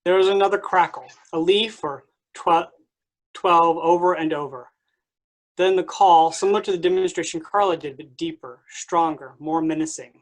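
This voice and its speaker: A reader delivers stage directions for a play reading. The voice is of middling pitch, in a scripted narrating style. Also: normal speed, poor audio.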